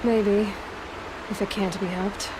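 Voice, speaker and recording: Woman as a plainspoken young huntress, mid pitch. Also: level tone, takes it slowly, heavy background noise, natural voice. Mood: guarded, direct. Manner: plainspoken, dry, questioning. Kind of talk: video game dialogue acting.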